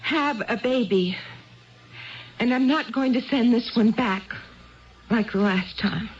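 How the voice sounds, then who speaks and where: Plaintive, stubborn wife, dull radio sound. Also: female voice, a little high, slow pace. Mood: determined. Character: neglected, stubborn wife longing for a baby. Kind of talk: 1970s radio drama acting. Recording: dull lo-fi 1970s radio broadcast.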